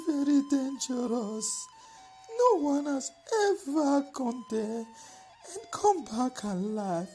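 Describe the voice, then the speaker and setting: A woman voicing an anxious widowed mother in a folktale, imploring her only son in a drawn-out, tearful way. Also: sits high, warm tone, pitched above the performer's own voice, full character voice. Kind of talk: folktale storytelling.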